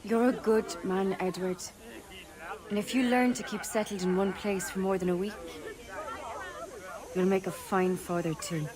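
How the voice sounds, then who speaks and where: An actress playing a frank, warm-hearted Irish woman in a pirate game cutscene, gentle and plain-spoken. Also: medium pitch, fairly even intonation, measured pace, pauses often, crowd noise, lightly acted. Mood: tender, wistful. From Ireland. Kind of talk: video game cutscene drama.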